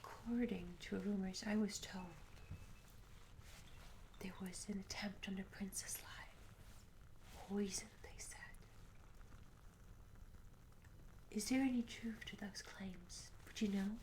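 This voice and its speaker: Slow, whispering tavern maid, acted by a woman. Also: medium pitch, steady tone, close to an everyday voice, low-quality recording. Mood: hushed, curious. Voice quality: soft, breathy whisper. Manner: gentle, hesitant, courteous, old-fashioned phrasing. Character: curious, polite, humble medieval tavern maid. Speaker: ASMR roleplay performer. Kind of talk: ASMR roleplay, soft-spoken whispering. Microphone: close ASMR microphone. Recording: close whisper over audible ambience noise. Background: candlelit tavern ambience.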